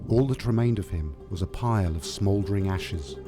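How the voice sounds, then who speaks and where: A man narrating a scripted tale as a storyteller, with measured, dramatic delivery. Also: deep voice. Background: music.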